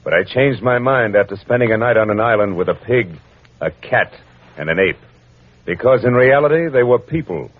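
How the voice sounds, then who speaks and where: A man plays a wisecracking American private eye in vintage radio noir. His low voice swings expressively through clipped, worldly lines. The old recording is muffled, with music behind it. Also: expressive, normal speed, a little hiss or hum, lightly acted. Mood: world-weary. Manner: wry, wisecracking, clipped hard-boiled delivery. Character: wry, worldly male private detective narrating his cases. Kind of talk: 1940s-50s radio noir acting and first-person narration.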